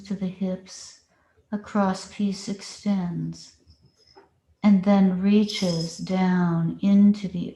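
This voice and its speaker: Very slow woman guiding meditation, roomy sound. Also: mid-range voice, mellow. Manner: slow, deliberate instructing with long pauses. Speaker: meditation teacher. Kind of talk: guided meditation. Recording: roomy, echoing space.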